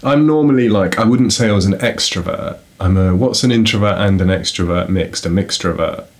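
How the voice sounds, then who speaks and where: A man's voice: deep voice, a little fast. A young man co-hosting a casual book podcast, playful and fond of puns, poking fun at himself. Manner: playful, punning, self-deprecating. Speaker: podcast co-host.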